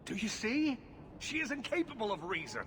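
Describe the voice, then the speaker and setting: An impassioned leader of mages in a fantasy game. His voice is on the high side, formal and urgent, as if making a public accusation. Noise is clearly audible behind the speech. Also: huge pitch swings, normal speed, fairly bright tone, exaggerated voice. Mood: exasperated. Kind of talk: dramatic fantasy video game acting.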